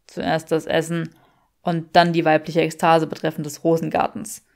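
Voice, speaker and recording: Woman doing a snooty rich young guy, low creaky drawl, deadpan, audiobook. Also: normal speed. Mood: dry teasing. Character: haughty, dry-witted wealthy young gentleman.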